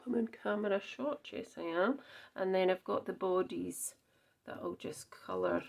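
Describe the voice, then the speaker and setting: A woman's voice: mid-range voice, huge pitch swings, a little slow, gravelly, darkish tone. A Scottish woman chatting through a card-making demonstration, thinking aloud with frequent ums and small self-corrections. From Scotland. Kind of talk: casual crafting demonstration.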